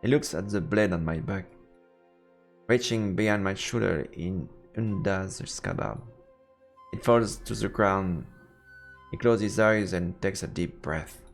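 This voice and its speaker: A man's voice: deep voice, a little slow, vocal fry. A man narrating a visual novel aloud in a dramatic storytelling style as he streams. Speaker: streamer reading a game aloud. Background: faint music.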